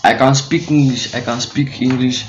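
A man's voice: mid-range voice, fairly even intonation, normal speed, dull audio. A young man talks casually into a phone camera, his first language Yoruba. The clip sounds roomy, with faint noise behind him. He leans on "like" as a filler. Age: young adult. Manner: casual, mixes Yoruba and English, says like often. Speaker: speaker in a played social video. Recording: roomy phone video played back.

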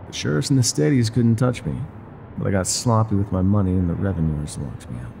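An actor playing a weary, regretful older ex-mafia bar owner in an intimate, brooding roleplay monologue. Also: male voice, fairly low pitch, a little fast, vocal fry, background noise. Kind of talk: intimate scripted roleplay monologue, ASMR audio drama.

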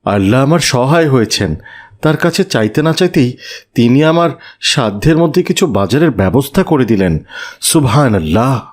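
A humble father and prayer leader from a moral cartoon. His mid-pitched voice moves in very lively contours. He sounds earnest and devout. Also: moderate pace. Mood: prayerful. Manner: expressive, earnest. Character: humble, pious, poor father who leads prayers. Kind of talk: cartoon voice acting.

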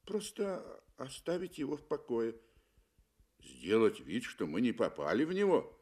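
A frightened rich man on safari, acted out by a male radio narrator. He speaks slowly and haltingly, uneasy and questioning, in a radio drama. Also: medium pitch, huge pitch swings, a little mellow, husky, heavily acted.

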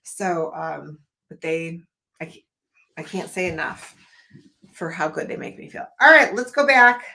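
A woman's voice: lowish voice, animated, unhurried, creaky, sharp tone. Chatty woman hosting an art live stream. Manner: chatty and rambling, talks straight to viewers. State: losing her voice. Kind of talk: casual live-stream chat. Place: home art studio, indoors.

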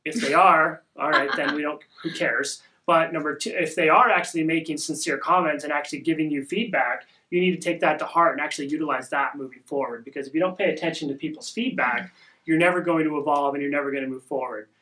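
A young man hosting a casual podcast at home, talking in a rambling, upbeat way with a soft voice, recorded by a camera across a small room. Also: fairly high pitch, quick pace, low-quality recording. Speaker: podcast host. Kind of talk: casual marketing podcast talk.

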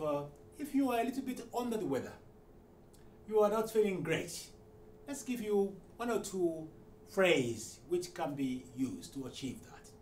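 A middle-aged man with a high voice that rises and falls a lot, teaching at a whiteboard. He goes slowly and repeats each phrase, lifting the model lines above his explanations. The sound is roomy and a bit distant, with a faint hiss behind him. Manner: slow, repeating each phrase several times; stresses the Arabic model phrases louder and higher. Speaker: Arabic teacher. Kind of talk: classroom lesson at a whiteboard. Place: room in front of a whiteboard.

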